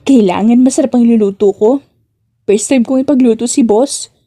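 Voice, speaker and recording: A woman's voice: on the high side, normal speed, mellow, lightly acted. Playful young woman in love, voiced by a woman. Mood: cheerful. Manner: teasing, coy, mock-annoyed. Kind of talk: romance audiobook dialogue.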